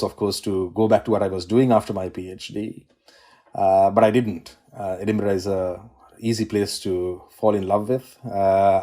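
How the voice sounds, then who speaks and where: A man from Sri Lanka with a low, mellow voice, speaking as a constitutional law academic in his forties. He answers in a measured, thoughtful way, with frequent "um"s and self-corrections, over a video call. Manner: measured, academic, many "um"s and restarts. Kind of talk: conversational interview answers.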